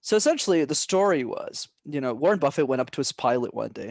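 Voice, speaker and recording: A young man as a podcast guest, giving energetic and emphatic advice and often tagging his points with "right?". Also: medium pitch, quick pace, vocal fry, no room sound, clean studio sound. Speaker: guest, public speaking coach. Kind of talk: casual podcast interview.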